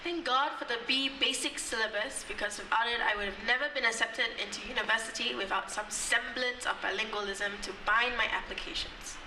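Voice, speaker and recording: A woman's voice: a little high, steady tone, brisk, few pauses. A young woman from Singapore reciting spoken word poetry with a dry, wry delivery. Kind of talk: poetry performance. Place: outdoor courtyard. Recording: echoey, distant, noisy outdoor recording.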